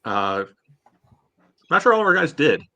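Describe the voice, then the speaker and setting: A man debating casually on a sports podcast, arguing his points in halting phrases with frequent verbal padding. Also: a little high, big pitch swings, bright, forceful tone. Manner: argumentative, halting, peppered with "you know". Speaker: podcast co-host.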